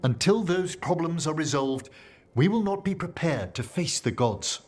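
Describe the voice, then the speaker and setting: A man's voice: fairly high pitch, lively intonation, normal speed, darkish tone, faint music behind, natural voice. An actor playing a stern male scholar in a video game, precise and formal, with grave wording. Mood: grave, admonishing. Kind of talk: video game dialogue acting.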